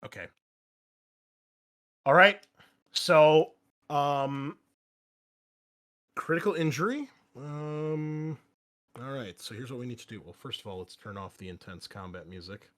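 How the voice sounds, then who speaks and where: A man with a mid-pitched voice runs a tabletop roleplaying game. He moves casually between explaining rules and narrating scenes, and is comfortable swearing as he goes. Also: lively intonation, unhurried, pauses often, a bit bright. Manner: casual, profane. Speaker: game master.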